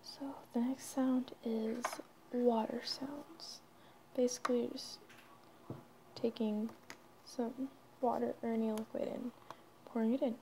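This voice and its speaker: A woman narrating ASMR in a breathy whisper, halting and informal, on a noisy home recording. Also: a little high, little pitch movement, dark tone. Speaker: ASMR video creator.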